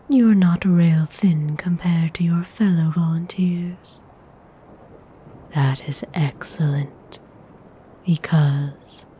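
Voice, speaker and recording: Slow, whispering seductive vampire noblewoman played by an actress. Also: fairly low pitch, full character voice. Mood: composed, appraising. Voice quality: breathy, soft whisper. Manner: slow, soothing, intimate, unhurried. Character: seductive, gentle female vampire noble, tenderly hungry. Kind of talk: ASMR roleplay, whispered.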